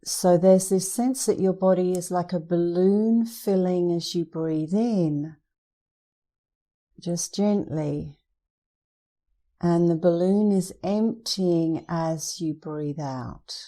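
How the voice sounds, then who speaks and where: Slow, soft-toned woman guiding meditation. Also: fairly low pitch. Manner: soothing; gentle, unhurried instruction with pauses. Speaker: meditation instructor. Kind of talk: guided meditation.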